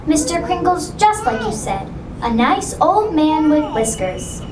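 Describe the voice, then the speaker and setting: A woman's voice: sits high, very animated, measured pace, background noise. A woman voicing an earnest, curious, slightly skeptical little girl in a children's puppet musical, with simple childlike delivery. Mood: pleading. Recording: roomy puppet show, distant, loud music.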